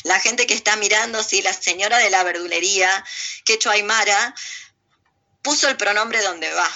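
A woman's voice: medium pitch, normal speed, pushed and bright. A woman with a Buenos Aires accent giving emphatic, sardonic, digressive political opinions as an interview guest on a muffled recording. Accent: Buenos Aires Argentine Spanish. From Argentina.

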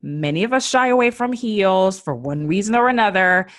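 A woman's voice: medium pitch, lively intonation, moderate pace. A woman hosting a style and beauty podcast, giving chatty, encouraging tips with casual fillers.

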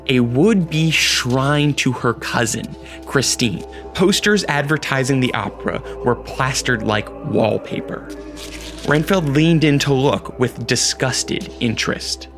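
A man's voice: mid-range voice, expressive, unhurried, fairly bright tone, soft background music. A man giving scripted narration for a radio drama, as the storyteller.

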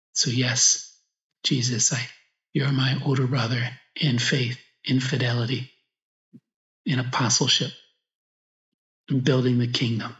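A man, a priest guiding a meditation, speaks slowly and reflectively in short phrases with pauses, as in spoken prayer. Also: mid-range voice. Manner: slow, reflective, pausing between short phrases. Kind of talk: guided meditation, spoken prayer with gospel reading. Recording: echoey room, slightly dull sound.

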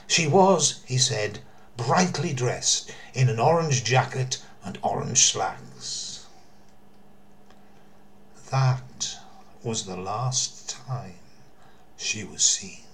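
An older man narrating a true mystery story in a theatrical, deliberate way, with emphasis and dramatic pauses. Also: mid-range voice, a bit bright, a little hiss or hum. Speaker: storyteller, former theatre worker.

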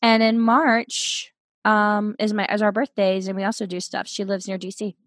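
A woman in her late thirties, casually telling a story as a podcast guest from her bedroom, chatty and quick to laugh. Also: medium pitch, flat delivery, no room sound, clean studio sound. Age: 30s. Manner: chatty, giggly, self-deprecating.

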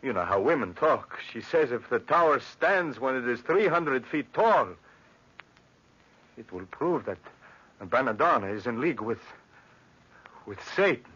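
Meek workman with lively, husky voice. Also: mid-range voice, measured pace, long pauses. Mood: hesitant, uneasy. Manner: hesitant, deferential, excitable. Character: meek, uneasy Italian workman, well-meaning and superstitious. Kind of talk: 1940s radio drama acting. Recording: muffled 1940s radio recording.

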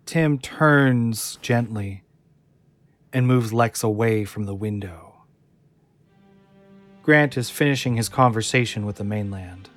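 A man reading scripted narration for an audio drama in a measured, dramatic way. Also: fairly low pitch, a bit bright, faint music behind.

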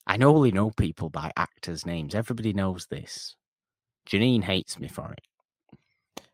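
A man with a very low, deep voice talks loosely about a classic movie, circling back and restarting his sentences. The sound is close and dry, with no room echo. He drifts between points in casual podcast chat. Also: unhurried. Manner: rambling, animated, self-interrupting. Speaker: podcast co-host. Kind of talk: casual film discussion.

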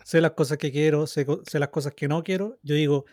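A Chilean man in his thirties chats as a podcast co-host. His voice sits somewhat high for a man, recorded very dry. He rambles and circles back over his own phrases with joking self-mockery. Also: steady tone, a little fast, a little mellow, no echo. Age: 30s. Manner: rambling, self-mocking, repeats himself. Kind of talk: casual, joking chat.

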